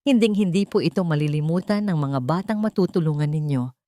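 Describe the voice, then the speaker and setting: A poised young heiress gives a gracious public speech in an audiobook drama, voiced by a woman narrator. She is composed and sugary polite, with a Filipino accent. The voice is fairly low and dryly recorded. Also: brisk, warm tone, dry recording, close to an everyday voice. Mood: sweetly gracious. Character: poised young heiress designer giving sweetly cutting speech.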